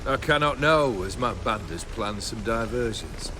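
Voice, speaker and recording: A man's voice: on the low side, lively intonation, measured pace, music in the background. An actor playing a cynical, imperious bandit nobleman in a video game, with theatrical, sardonic and ornate delivery. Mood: amused, imperious. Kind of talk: video game cutscene acting.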